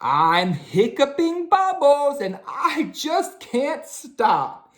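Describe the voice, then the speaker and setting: A woman's voice: high-pitched, very animated, very slow, pitched above the performer's own voice, exaggerated voice. A woman voicing a comic, giddy storybook hippo in a bouncy, exclamatory, staccato way. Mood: giddy hiccuping. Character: fizzy-drink hippo, boastful, hiccuping, comic storybook animal.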